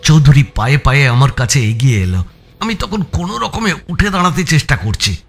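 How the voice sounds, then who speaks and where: A man's voice: a little high, sing-song, fairly quick, faint music behind. A man with an Indian Bengali accent giving a dramatic first-person audiobook narration of a story.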